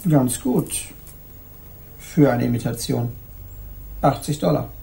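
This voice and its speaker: Creaky, mellow shopkeeper. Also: male voice, mid-range voice, takes it slowly, a little hiss or hum. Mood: businesslike. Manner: matter-of-fact, dry. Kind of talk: German radio play acting.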